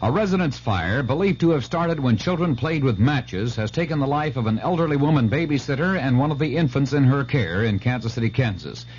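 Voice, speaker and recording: A man with an American accent reads local radio news from a script in a medium-pitched voice. The sound is the dull band of a 1970s AM broadcast, with faint noise underneath. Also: animated, fairly quick, few pauses, a little mellow.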